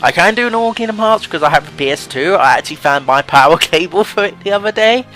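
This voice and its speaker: A man's voice: sits high, animated, fast talker, pushed and bright. A young man giving casual, rambling and hesitant let's play commentary over game music. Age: young adult.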